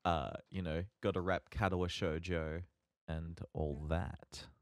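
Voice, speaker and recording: A man co-hosting a podcast, chatting casually in a halting, wordy, measured way. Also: low-pitched, level tone, no echo, clean studio sound. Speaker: podcast co-host.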